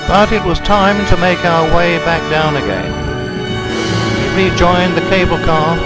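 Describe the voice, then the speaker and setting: A man narrates a travelogue from a script, with a voice pitched a little high. His delivery is measured and descriptive, in a storytelling manner. Music plays clearly behind him. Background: background music.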